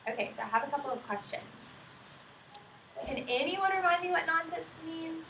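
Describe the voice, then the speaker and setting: A woman's voice: extremely high pitch, lively intonation, normal speed, fairly bright tone. A young woman reading aloud to small children as a student teacher, asking simple questions in an encouraging, affirming way. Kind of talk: interactive picture-book read-aloud with questions to young children. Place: indoors in a classroom with young children. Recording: echoey room, distant mic, clear background noise.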